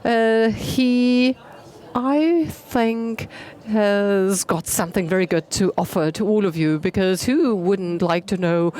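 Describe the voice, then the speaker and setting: A woman's voice: mid-range voice, takes it slowly, a little mellow. Chatty woman introducing a conference speaker. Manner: chatty, with hesitation fillers.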